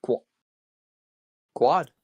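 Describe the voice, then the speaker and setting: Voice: extremely slow, a little mellow, no room sound, highs cut off. A co-commentator following a card game live in a casual way, often in short, clipped interjections. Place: apartment.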